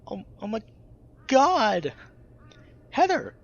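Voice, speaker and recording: A man's voice: extremely high pitch, wildly swooping pitch, extremely slow, gravelly, breathy edge, dull audio, pitched above the performer's own voice, full character voice. A man voicing a stout young fennec stoner with a laid-back, swearing drawl. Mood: panicked.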